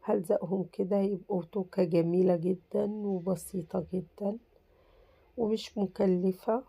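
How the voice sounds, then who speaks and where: A woman with an Egyptian Arabic accent, hoarse from a cold, casually talking through a simple craft tutorial and reassuring viewers that it is very easy. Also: mid-range voice, steady tone, moderate pace, warm tone, no room sound. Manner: chatty, reassuring, repeats "very easy". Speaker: crafts channel host.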